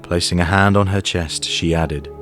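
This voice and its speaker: A man's voice: low voice, steady tone, normal speed, a bit bright, soft background music. A man reading scripted story narration in the third person for an audio drama.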